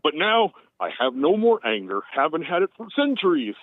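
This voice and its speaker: A man doing a jolly booming Santa Claus. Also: big pitch swings, normal speed, no echo. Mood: jovial. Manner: loud high put-on booming laugh. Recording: tinny phone line.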